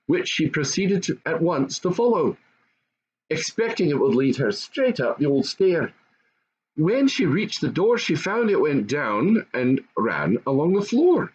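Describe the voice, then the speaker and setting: A dull, lo-fi recording of an older man with a fairly high, husky voice reading a fairy tale aloud. His delivery is grandfatherly and dramatic, with very lively rises and falls in pitch. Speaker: storybook reader. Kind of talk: expressive storytelling read-aloud of a classic fairy tale.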